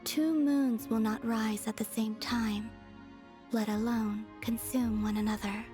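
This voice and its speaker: Ethereal young goddess played by a woman for a game: high, airy, deadpan, aloof, formal phrasing, light music bed. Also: measured pace, lightly acted. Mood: serene, aloof. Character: serene, enigmatic young moon goddess maiden, aloof and formal. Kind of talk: English video game dialogue acting. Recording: faint music behind the voice. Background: faint background music.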